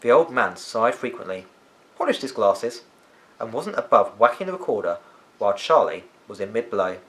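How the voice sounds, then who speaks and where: A man reading a children's story aloud as an expressive storyteller. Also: fairly low pitch, moderate pace. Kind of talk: animated children's audiobook narration.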